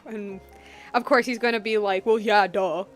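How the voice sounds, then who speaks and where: A woman live-streaming a game, chatting casually and reacting out loud to the story in an expressive way. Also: medium pitch, normal speed, soft background music. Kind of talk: casual live game stream commentary, reading dialogue aloud.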